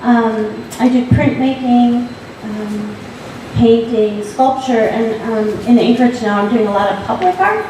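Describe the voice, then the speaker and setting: A woman's voice: fairly high pitch, unhurried, few pauses, warm tone. A woman from Alaska speaking on a panel, hesitant and full of fillers. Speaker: panelist, visual artist. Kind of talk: panel talk. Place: large gallery hall. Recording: echoey hall from far off, background noise.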